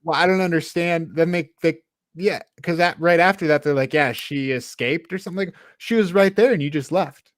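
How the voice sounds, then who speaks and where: A man's voice: fairly high pitch, fairly quick, no room sound, studio quality. A man co-hosting a podcast, chiming in with casual conversational comments. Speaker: podcast co-host. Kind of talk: casual chat, interjecting.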